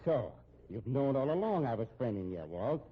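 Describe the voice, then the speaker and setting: A man acting as a treacherous, gloating crook in a 1950s radio drama, with a husky, sneering voice. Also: medium pitch, very animated, normal speed. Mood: gloating, threatening. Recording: muffled old radio recording.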